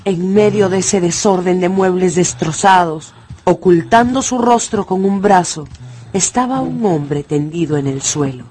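An actress narrating an adventure novel as a storyteller in a dramatized radio audiobook. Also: female voice, fairly low pitch, measured pace, darkish tone, natural voice. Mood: dramatic, tense.

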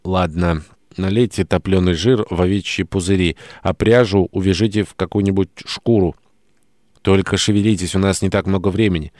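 A man's voice: sits low, fairly even intonation, normal speed, darkish tone, no echo, clean studio sound, close to an everyday voice. A man voicing a wry, impatient male trickster hero in an audiobook, sardonic and commanding. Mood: impatient, bossy.

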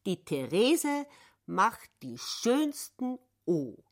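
An actress playing a deliberate female storyteller in a literary radio drama. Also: mid-range voice, very animated, exaggerated voice. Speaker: narrator.

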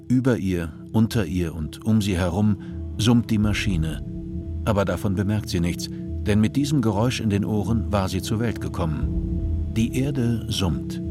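A man reading scripted narration in a calm, measured way. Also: low-pitched.

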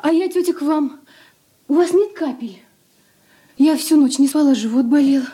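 An actress playing a coy, flustered young niece in an old radio drama. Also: female voice, high-pitched, normal speed, warm tone, close to an everyday voice. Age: young adult. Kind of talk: Soviet radio drama acting.

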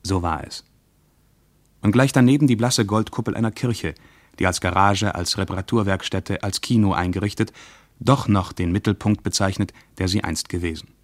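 An actor playing a reflective, brooding man recalling the past in a measured literary radio-play monologue. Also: low voice, no room sound. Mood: brooding, remembering.

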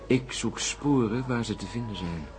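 An actor playing an earnest, insistent astronaut with a bold theory in a Dutch radio drama. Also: male voice, lowish voice, moderate pace, a little mellow, soft background music, close to an everyday voice.

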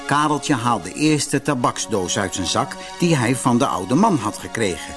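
A man reading a scripted fairy tale for children as an expressive narrator. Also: medium pitch, moderate pace. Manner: expressive storytelling. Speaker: storybook narrator. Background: music.

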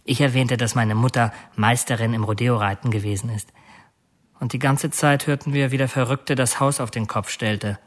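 Guy playing a brooding killer giving a confession, radio drama, medium pitch, matter of fact but halting, kind of bitter. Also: fairly even intonation, close to an everyday voice. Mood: matter-of-fact, reflective. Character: confessing male killer, bitter, brooding, oddly soft-hearted. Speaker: actor narrating as a murderer.

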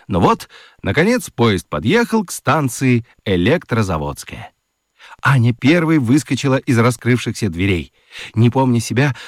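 Animated male children's story narrator. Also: mid-range voice, no room sound, studio quality.

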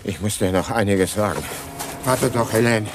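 An actor playing a furtive, coolly calculating husband in a German radio crime play, often muttering clipped thoughts to himself. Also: male voice, fairly low pitch, moderate pace, lightly acted. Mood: tense, urgent. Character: furtive, tense husband, polite in public, coolly calculating murderer. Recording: noisy 1980s radio drama production.

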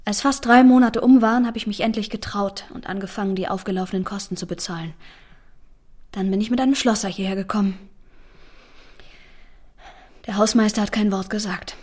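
Actress doing guarded defiant woman, normal mid pitch, natural radio drama dialogue. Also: brisk, pauses often, darkish tone, natural voice. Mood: confiding. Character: guarded, defiant, pragmatic woman confessing shady dealings.

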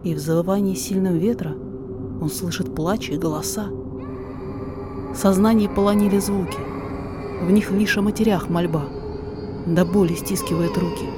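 A woman reading a rhymed story aloud as theatrical, deliberate dramatic narration. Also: on the low side. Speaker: podfic reader. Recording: music behind the voice.

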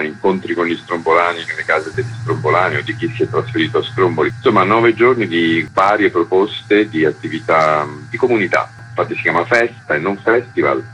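A man's voice: deep voice, fairly bright tone, slight background noise, low-quality recording. A man being interviewed as a festival's artistic director, explaining in a conversational way.

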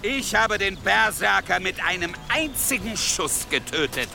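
A cruel medieval warlord in an audio drama, his high-pitched voice cracking into heavy gravel. He stretches his words theatrically and menacingly, volatile and commanding, over prominent music. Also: big pitch swings, full character voice. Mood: triumphant, commanding. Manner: menacing, theatrical, drawn-out, swinging from hushed to shouting. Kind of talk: German audio drama acting.

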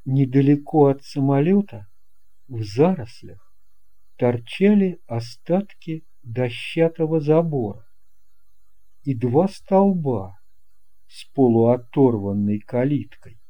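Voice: medium pitch, animated, takes it slowly, dull audio. A narrator reading a fairy tale aloud as scripted storytelling. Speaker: audiobook narrator.

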